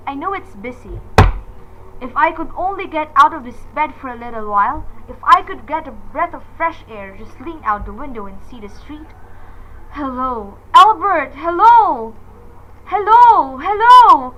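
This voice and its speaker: Bedridden wife played by a woman, radio suspense: high, anxious, demanding, melodramatic; slightly noisy lo-fi recording. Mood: exasperated, frantic. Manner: fretful, breathless, melodramatic exclamations. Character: nervous, demanding bedridden wife, frightened and imperious. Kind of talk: old-time radio suspense acting. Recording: dull lo-fi audio with hiss.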